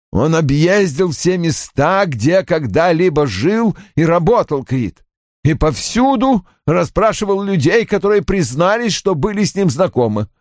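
A man's voice: on the high side, no room sound, studio quality, pitched above the performer's own voice. A man voicing a bitter, brusque older father in an audiobook, irritable and defensive, with cold, emphatic delivery. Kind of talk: audiobook character acting.

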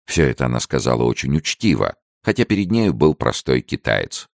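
Male audiobook narrator, extremely deep voice, gravelly, lively intonation, dry close recording. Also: brisk, no room sound. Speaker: audiobook reader. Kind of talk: expressive scripted narration.

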